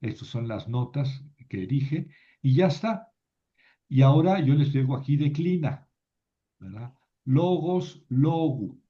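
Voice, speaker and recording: A man teaching a class, slowly reciting word forms and thinking aloud as he explains. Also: mid-range voice, expressive. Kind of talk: slow classroom lesson on grammar. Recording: dull lo-fi recording.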